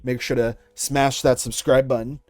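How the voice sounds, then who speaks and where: A young man talking casually as an interviewed online creator, in a measured, considered way. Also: medium pitch, little pitch movement, darkish tone, faint music behind. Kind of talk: casual interview chat with YouTube-style sign-off.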